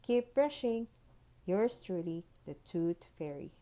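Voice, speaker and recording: Woman reading as a courteous fairy, unhurried and melodic. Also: fairly low pitch, expressive, dark tone. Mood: grateful, approving. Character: courteous, formal female tooth fairy writing letters.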